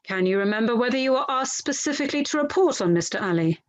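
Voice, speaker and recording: A woman reading the part of an inquiry lawyer from a transcript, courteous and methodical, slightly halting. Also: fairly high pitch, lively intonation, no echo, natural voice. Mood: polite, methodical. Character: formal, polite inquiry lawyer questioning a witness.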